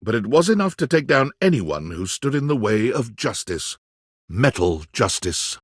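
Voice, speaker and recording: A man reading scripted second-person game narration in a theatrical, wry storytelling manner with dramatic pauses. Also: mid-range voice, big pitch swings, slightly rough, no room sound, clean studio sound.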